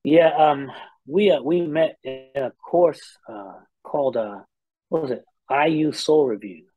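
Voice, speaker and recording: Relaxed middle-aged man, many pauses. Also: fairly high pitch, no room sound, phone-quality sound. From Indianapolis, Indiana. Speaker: R&B singer, guest. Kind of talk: casual interview chat.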